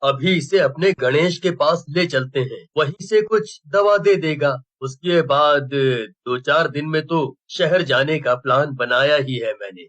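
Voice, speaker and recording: A man's voice: fairly high pitch, measured pace, few pauses, a bit bright. A man voicing a kindly, worried middle-aged village headman in a cartoon story, speaking earnestly. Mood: concerned. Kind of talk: Hindi cartoon story dialogue.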